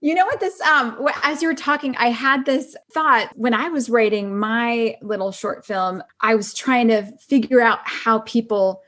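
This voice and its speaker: A woman's voice: on the high side, moderate pace, hardly any pauses, a bit bright. A woman chatting casually as a podcast guest, enthusiastic and agreeable in a conversational way. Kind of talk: casual chat.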